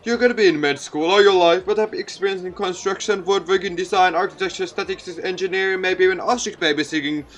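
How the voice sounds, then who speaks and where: A man's voice: high-pitched, fairly even intonation, quick pace, few pauses, a bit bright, pitched above the performer's own voice. A man voicing a jovial, earnest mayor from a visual novel, giving an encouraging, folksy public speech.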